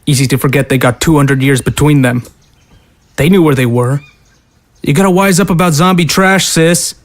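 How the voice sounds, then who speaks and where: A man's voice: a little high, moderate pace, natural voice. A man as a curt, callous young hunter. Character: cold, hardened young man, zombie hunter brother.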